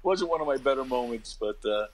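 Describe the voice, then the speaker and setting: A fifty-year-old man, chatting casually as an interview guest and telling stories in an animated, conversational way. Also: mid-range voice, a little fast, noisy background. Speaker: interview guest, actor and comedian. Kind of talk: casual interview anecdotes and advice.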